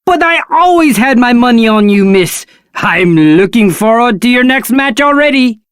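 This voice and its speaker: A woman with a British accent playing an excitable, courteous battle arena attendant in a video game, polite and theatrical. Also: on the high side, normal speed, clean tone, no echo, clean studio sound, lightly acted. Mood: admiring. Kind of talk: video game character acting.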